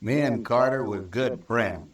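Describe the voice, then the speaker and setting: A male actor playing a surly, evasive American cowhand with rough rural speech in a 1950s radio western. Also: mid-range voice, a little slow, natural voice. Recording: old radio recording, roomy and distant.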